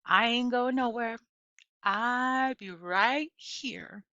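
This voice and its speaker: A woman voicing a kind, wise old Southern woman from a children's storybook in a folksy, encouraging, unhurried way. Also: a little high, bright, forceful tone, no echo, highs cut off, pitched above the performer's own voice, full character voice. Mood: reassuring.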